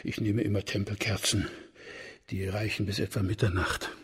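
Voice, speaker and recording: An actor playing a deferential old house steward in a radio crime play, servile and plain-spoken, with a raspy voice. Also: male voice, lowish voice, level tone, normal speed. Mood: humble, explanatory.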